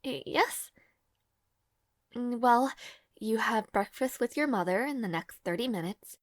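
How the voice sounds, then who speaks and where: Hesitant, high-voiced young maid played by a woman. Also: expressive, unhurried, no room sound, studio quality. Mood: polite, composed. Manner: hesitant, flustered, apologetic, formal and polite. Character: devoted young maid to a noble lord, nervous and caring. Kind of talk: scripted audio roleplay, intimate fantasy drama.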